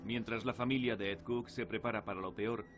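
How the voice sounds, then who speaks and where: A man's voice: lowish voice, fairly even intonation, a little fast, faint music behind. A man reading scripted narration for a documentary. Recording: clearly noisy documentary soundtrack. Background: clear background noise.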